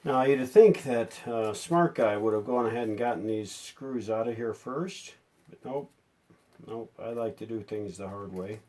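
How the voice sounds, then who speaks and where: A man's voice: lowish voice, unhurried, warm tone. A man narrating a hands-on repair unscripted, thinking aloud in a folksy way. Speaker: hobbyist repairer presenting a how-to video.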